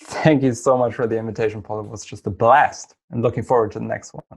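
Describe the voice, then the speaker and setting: A man's voice: mid-range voice, fairly even intonation, fast, creaky, husky. A man whose first language is German, a podcast guest answering in an earnest, thoughtful way. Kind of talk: conversational interview answers.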